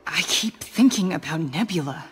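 Haunted game assassin, subdued and husky, dry wit. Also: female voice, low voice, animated, normal speed, a faint crowd, full character voice. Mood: troubled. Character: brooding green-skinned female warrior assassin. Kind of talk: video game acting.

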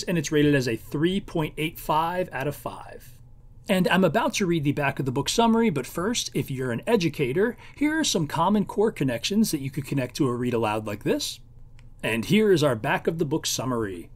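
A man narrates as host of a book preview show. His scripted delivery is highly animated, with a fairly high voice that moves freely up and down.

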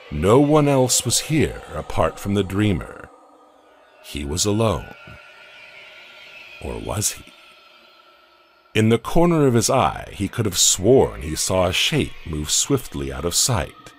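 A man narrating a dark audio comic story in a scripted, dramatic way, often dropping to a hush at the ends of lines. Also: low-pitched, a little hiss or hum. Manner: slow, dramatic storytelling with hushed drops.

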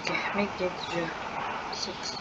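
Woman, slow and halting. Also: fairly low pitch, flat delivery. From Nigeria. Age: young adult. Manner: thinking aloud while typing, hesitant. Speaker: scholarship tutorial creator. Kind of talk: screen-recorded walkthrough. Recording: dull lo-fi sound with clear background noise.

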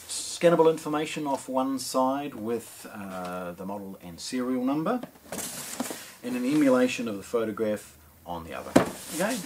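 A middle-aged man with a New Zealand accent casually presenting an unboxing, in a hesitant, improvised way with frequent pauses. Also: mid-range voice, big pitch swings. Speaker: product presenter at an audio shop. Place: small indoor showroom with shelves and speakers. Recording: clear background noise.

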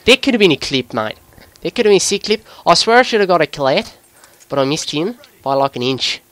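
High, animated Australian gamer bloke put on by a man. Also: a little fast, no echo. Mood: sarcastic, frustrated. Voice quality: voice pushed higher by the accent. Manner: excitable, sweary, breaking into giggles at himself. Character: excitable Australian bloke gamer, jokey larrikin. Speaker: gaming video commentator. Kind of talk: live gameplay commentary.

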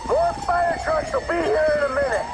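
A man's voice: very high voice, fairly even intonation, moderate pace, rough recording. An actor playing an urgent, bossy, streetwise American heist crewman in a video game. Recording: clear street and vehicle noise behind.

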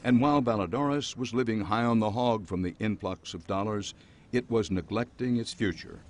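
Low-voiced male news narrator. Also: normal speed. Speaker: reporter.

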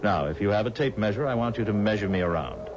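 An actor playing a mysterious, insistent stranger in a 1970s radio drama, speaking in terse, deliberate, imperious phrases. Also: male voice, on the low side, animated, close to an everyday voice. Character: insistent, mysterious male stranger ordering his own coffin. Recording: dull, noisy old radio recording.

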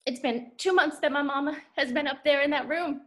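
An actress playing an anxious, devoted daughter in a theatrical monologue, speaking haltingly and emotionally. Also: female voice, high-pitched, a bit bright. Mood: pleading, anguished. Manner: halting, repeating words, emotional. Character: anxious, devoted Southern daughter kept from her mama's bedside.

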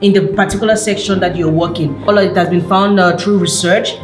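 A woman's voice: mid-range voice, brisk. A young woman leads a casual tour through university labs, explaining as she walks, peppering her talk with "like". Her voice rings a little in the echoey indoor rooms, with music playing underneath. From Cameroon. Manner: relaxed, explanatory, fillers like "like". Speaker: PhD student giving a campus tour. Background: background music.

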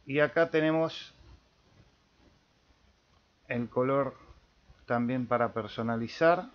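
Unhurried Argentine man, slightly dull recording. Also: medium pitch. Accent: Argentine Spanish. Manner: thinking aloud while demonstrating, checking in with "¿eh?". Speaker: amateur radio video tutorial host. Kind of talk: informal screen-share software tutorial.

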